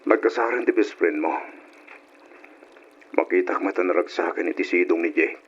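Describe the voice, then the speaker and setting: A man's voice: sits low, normal speed, lightly acted. A man acting in an Ilocano radio drama, playing an earnest, heartbroken but forgiving jilted suitor. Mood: wistful. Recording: dull, noisy old radio recording.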